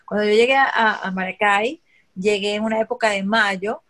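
A woman with a Venezuelan accent chatting on a podcast in a lively, talkative way. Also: medium pitch, normal speed. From Acarigua and Barquisimeto, Venezuela. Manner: lively, chatty, laughs easily. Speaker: podcast co-host. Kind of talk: casual podcast chat.

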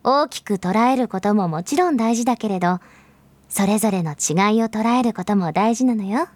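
A woman playing a gentle, patient mother explaining things to her small child in an audio drama. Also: medium pitch, animated, moderate pace, lightly acted. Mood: patient, tender. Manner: soft-spoken explaining, patient.

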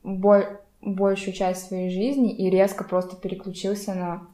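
A woman's voice: medium pitch, monotone, measured pace, dark tone. A young adult woman chatting casually as a podcast co-host, sometimes mixing English words into her speech. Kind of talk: casual conversation. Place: room in a home. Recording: roomy home recording.

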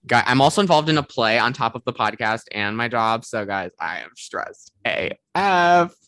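A man's voice: medium pitch, animated, normal speed, pushed and bright, no echo. Chatty teenage boy podcast host. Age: teens. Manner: chatty, rambling, lots of "like". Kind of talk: casual chat.

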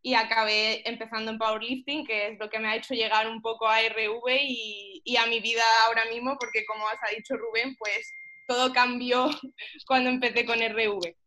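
A young woman from Egypt talks informally as a podcast guest. Her voice is fairly high and very bright, while her intonation stays quite flat. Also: moderate pace, low-quality recording. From Middle East, mostly Egypt. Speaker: powerlifting athlete and coach, podcast guest. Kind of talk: casual interview chat.

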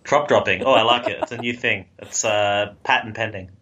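A man's voice: on the low side, fairly even intonation, sharp tone. A man in his mid-thirties co-hosting a podcast, tossing in jokes and wisecracks. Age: 30s. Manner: jokey, quick with wisecracks. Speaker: podcast co-host. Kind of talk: casual podcast chat.

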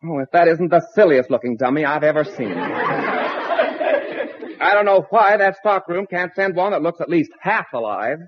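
Through a noisy, dull vintage broadcast comes a fussy male floorwalker, played by an actor. His voice sits rather high for a man. He is prissy and exasperated, speaking in theatrical 1940s radio comedy style. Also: lively intonation, moderate pace, lightly acted. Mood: indignant.

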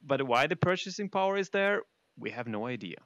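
Gravelly, bright-voiced man hosting a discussion. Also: mid-range voice, sing-song, a little fast, no room sound, muffled recording. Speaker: discussion host. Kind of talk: casual panel discussion.

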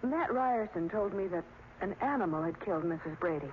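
An actress playing an inquisitive young fiancée in an old radio drama, with a brisk, questioning manner. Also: female voice, mid-range voice, animated, natural voice. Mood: curious, concerned. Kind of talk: 1940s radio drama acting. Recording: dull, hissy old radio recording.

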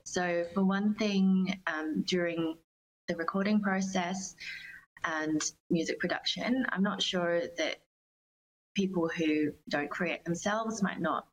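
A woman's voice: lowish voice, slow, rough recording. A woman giving reflective, earnest interview answers as a guest musician, phrasing her thoughts carefully. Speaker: guest, singer-songwriter.

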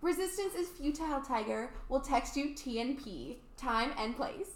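A woman's voice: high-pitched, expressive, normal speed. A woman playing a bold, candid, rich teenage girl in an amateur radio play, with a theatrical, quippy delivery. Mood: bossy, playful. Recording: roomy home recording.

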